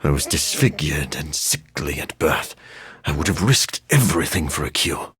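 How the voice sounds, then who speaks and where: A man playing a brooding, self-deprecating vampire scientist in a video game, with a husky, breathy voice, formal diction and dry wit. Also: extremely low pitch, expressive, normal speed, fairly bright tone, exaggerated voice. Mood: earnest, somber. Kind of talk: video game character voice acting.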